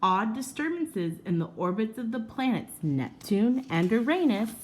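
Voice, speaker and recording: A woman reading a children's nonfiction book aloud like a teacher, in an expressive, measured storytelling manner with drawn-out emphasis. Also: on the high side, slight background noise.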